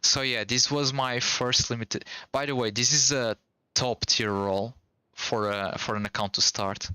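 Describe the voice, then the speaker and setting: A man's voice: mid-range voice, steady tone, unhurried, bright, forceful tone, smooth, no room sound, low-quality recording. A young man with a Portuguese accent, a native Portuguese speaker, chatting casually with viewers while streaming. He rambles in a loose, slangy way and restarts often. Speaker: game streamer.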